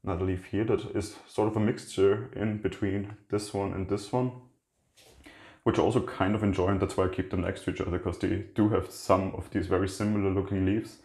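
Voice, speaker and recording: Male host, very low voice, loose chatty delivery, echoey kitchen. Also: fairly quick. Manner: relaxed, rambling with frequent restarts and fillers. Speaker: plant channel host. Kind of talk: casual plant tour walkthrough. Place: indoor kitchen by a windowsill.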